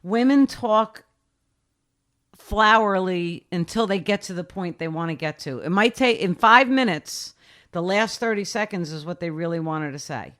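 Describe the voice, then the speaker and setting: A woman in her sixties talks in a relaxed interview. She is emphatic and direct, driving her points home in short repeated phrases, and her voice sits fairly low. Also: moderate pace. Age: 60s. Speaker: interview guest. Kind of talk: casual interview.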